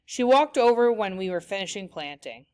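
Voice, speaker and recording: A woman teacher reading a children's novel aloud, with clear storytelling after a few discussion questions. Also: mid-range voice, expressive, moderate pace, dark tone, no echo.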